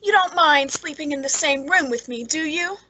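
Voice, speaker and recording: A woman's voice: high voice, moderate pace, noisy background, natural voice. A woman playing a lonely, frightened, unstable spinster in an old-time radio suspense drama, with a nervous, intense manner. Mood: guarded, nervous.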